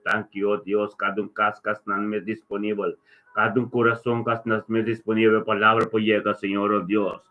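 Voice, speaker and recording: Male preacher, lowish and monotone, leading improvised fervent prayer with repetitive chanting invocations; thin telephone-like audio. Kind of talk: fervent extemporaneous group prayer. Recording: thin, narrow phone-like sound.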